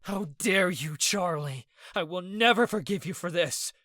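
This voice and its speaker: Sobbing, enraged young man; pitched high, strained, breaking. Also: measured pace, sharp tone, no room sound, studio quality. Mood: furious. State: crying. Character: young man, grief-stricken, sobbing and furious.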